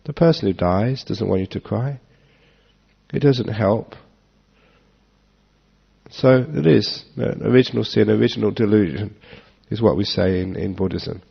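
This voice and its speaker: A man giving a relaxed Dharma talk to an audience, joking and chuckling as he answers questions. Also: lowish voice, unhurried, gravelly, husky. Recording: dull lo-fi talk recording.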